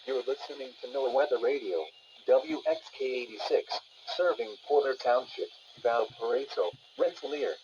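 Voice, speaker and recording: A man's voice: medium pitch, normal speed, a little mellow. Muffled, noisy synthetic male radio announcer. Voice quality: synthetic text-to-speech voice. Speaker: automated weather radio announcer. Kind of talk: scripted weather and alert bulletin.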